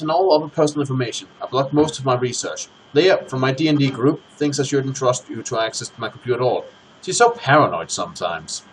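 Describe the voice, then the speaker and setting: A man hosting a gaming let's play, chatting casually to his audience and reading game text aloud in an animated way. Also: medium pitch, slight background noise, rough recording.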